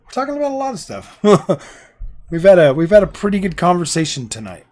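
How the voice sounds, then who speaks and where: Hoarse male streamer, rambling chat. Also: sits high, wildly swooping pitch, fairly quick, a little mellow. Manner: rambling and indecisive, repeats himself. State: hoarse, losing his voice. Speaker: livestream host. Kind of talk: casual live stream chat with viewers. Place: home gaming room.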